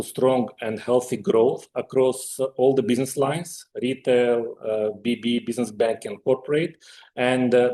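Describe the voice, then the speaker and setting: A man's voice: mid-range voice, mellow. A man speaking as a finance executive, presenting results on an earnings conference call.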